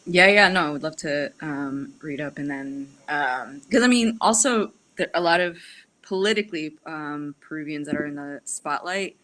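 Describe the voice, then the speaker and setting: A woman from Peru who laughs often and talks in an animated way, a guest in a casual political chat. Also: low voice, normal speed.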